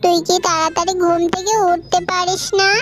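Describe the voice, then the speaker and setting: A woman plays a cruel cartoon fox stepmother with a very high voice. She nags and taunts in a shrill, scolding way, with loud music playing behind her. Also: flat delivery, a little fast. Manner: shrill nagging, taunting rhetorical questions. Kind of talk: cartoon story voice acting.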